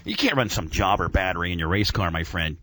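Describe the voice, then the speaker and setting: A man's voice: deep voice, lively intonation, fast talker, bright, forceful tone, no echo. A man hosting a casual radio show about auto racing, talking in a slangy, informal and opinionated way.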